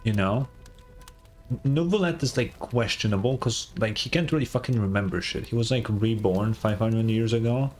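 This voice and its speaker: A man's voice: lowish voice, normal speed. A man giving casual, slangy live reactions as a game streamer, thinking aloud and swearing freely. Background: faint game music.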